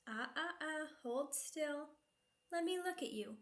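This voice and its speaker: Woman playing a slow-speaking, seductive vampire noblewoman. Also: high-pitched, expressive. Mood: seductive, teasing. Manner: formal, teasing, savoring each phrase. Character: sadistic, seductive vampire noblewoman, predatory yet courteous. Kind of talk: ASMR roleplay acting.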